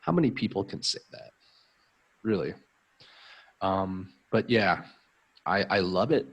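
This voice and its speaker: Gravelly-voiced American man, upbeat tutor. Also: low-pitched, expressive, a little slow, fairly bright tone, highs cut off. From United States. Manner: friendly, upbeat, many ums. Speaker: English tutor hosting a live stream. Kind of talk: casual live-stream chat.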